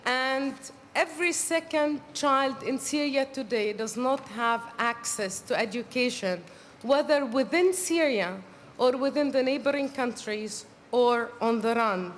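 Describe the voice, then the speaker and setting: A woman moderating a conference panel in a formal, measured way, pausing now and then with fillers. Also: high voice, expressive, slight background noise. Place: conference hall. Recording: reverberant hall with faint ambience.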